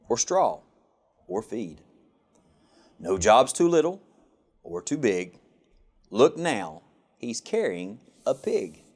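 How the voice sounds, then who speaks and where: A man reading a children's picture book aloud with an expressive, sing-song rhyming delivery. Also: medium pitch. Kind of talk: storybook read-aloud.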